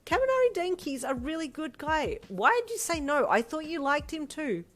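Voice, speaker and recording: A woman voicing a blunt, protective, exasperated sister in a story, scolding loudly. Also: high voice, big pitch swings, a little fast, pitched above the performer's own voice. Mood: exasperated, bossy.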